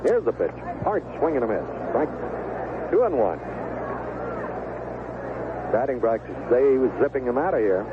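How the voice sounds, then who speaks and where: Guy doing 70s radio baseball play by play, folksy, animated, tinny noisy broadcast. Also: medium pitch, moderate pace, long pauses. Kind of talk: 1970s radio baseball commentary. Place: stadium broadcast booth. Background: ballpark ambience and noise.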